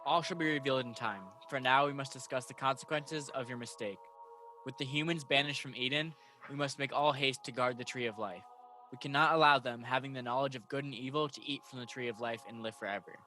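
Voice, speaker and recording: A man's voice: medium pitch, steady tone, a little fast, sharp tone, faint music behind, natural voice. A man playing a stern, dutiful archangel in a radio play, speaking earnestly and solemnly as he instructs. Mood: authoritative.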